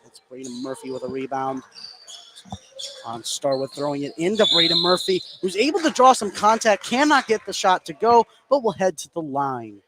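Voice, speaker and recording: A man calls a basketball game live as the play-by-play commentator. His voice sits a bit high and gets excitable on big plays. The recording has clear noise behind him. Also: normal speed. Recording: clearly noisy live sports broadcast.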